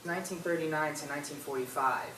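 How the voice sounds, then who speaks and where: Young man chatting gently with family. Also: fairly high pitch, quick pace, a little hiss or hum, poor audio. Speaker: interviewer, grandson. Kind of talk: casual family conversation.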